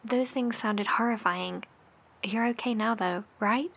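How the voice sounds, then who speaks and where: An actress in an audio horror drama playing a worried, caring survivor broadcaster, hesitant, gentle and breathy. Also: female voice, on the high side, unhurried, exaggerated voice. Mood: concerned.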